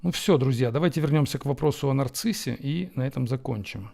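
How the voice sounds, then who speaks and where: Reflective man with a mellow voice. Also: mid-range voice, gravelly. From Ukraine. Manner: reflective, with frequent pauses and fillers. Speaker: host answering viewer questions. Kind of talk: Q&A monologue. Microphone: camera setup.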